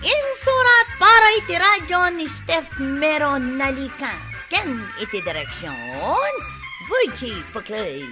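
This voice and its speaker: High, animated woman announcer. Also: slow pace, over music. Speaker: announcer of radio drama credits. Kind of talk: radio drama credits announcement.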